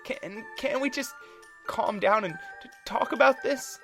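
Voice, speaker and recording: A storyteller voicing a nervous young lord who stutters and tries to placate everyone. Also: female voice, extremely low pitch, animated, measured pace, soft background music, pitched above the performer's own voice, full character voice. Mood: flustered.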